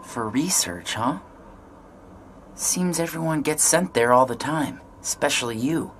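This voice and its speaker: A man's voice: a little high, lively intonation, measured pace, a bit bright, a little hiss or hum. An actor playing an earnest, curious teenage boy in a video game, with a plain, questioning manner.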